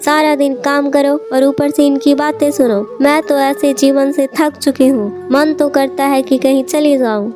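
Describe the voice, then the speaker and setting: Woman playing a scheming village housewife, very high voice, complaining, dramatic, cartoon fable, music behind. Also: fairly even intonation, measured pace, few pauses. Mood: irritated, weary. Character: potter's work-shy, complaining, scheming wife and her friend. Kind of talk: Hindi moral-story cartoon acting.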